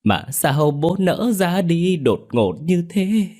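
Man doing a scheming fake mourner, high drawn-out wails, overdone grief. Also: sing-song, darkish tone, heavily acted. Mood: fake wailing. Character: scheming man faking loud mourning at a funeral.

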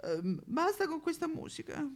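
A batty, snobbish rich housewife for a satirical monologue. The voice is very high and creaky, with affected swoons and whimpers as she rambles and loses her thread. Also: very animated, moderate pace, full character voice. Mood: flustered.

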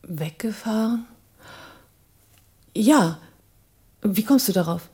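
An actress playing a direct, guarded and inquisitive woman with a husky, mellow voice in a radio drama. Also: mid-range voice, animated, slow pace, full character voice. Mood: questioning, guarded.

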